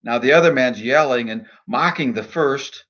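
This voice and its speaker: A man speaking in a measured, earnest, deliberate way, in the style of a devotional poetry reading and reflection. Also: mid-range voice, a bit bright, highs cut off. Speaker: minister and poet reading own poem.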